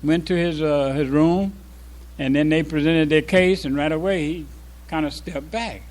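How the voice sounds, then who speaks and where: Older man reminiscing, slightly high voice. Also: steady tone, darkish tone. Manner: halting, reflective, with pauses mid-sentence. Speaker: former resident imam giving an address. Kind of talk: informal reminiscing speech to a congregation.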